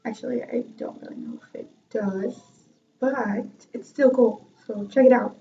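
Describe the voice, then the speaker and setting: A woman's voice: fairly high pitch, steady tone, measured pace, creaky, dark tone, far from the mic. A young woman telling a self-mocking story from her music class in a chatty vlog, laughing often. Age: young adult. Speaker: vlogger and music student. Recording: dull lo-fi sound from off-mic.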